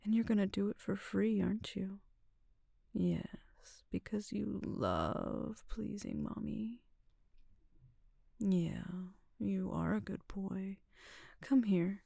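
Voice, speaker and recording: A woman performing an ASMR roleplay in a soft, teasing, drawn-out whisper, close to the mic. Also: mid-range voice, lively intonation, vocal fry, no room sound.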